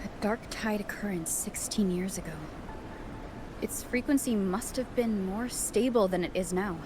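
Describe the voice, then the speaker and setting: A woman voicing a sincere, thoughtful young heroine in a video game, speaking in a gentle, reflective way. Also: mid-range voice, moderate pace, noisy background, natural voice. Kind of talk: earnest game dialogue. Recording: music behind the voice. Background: background music.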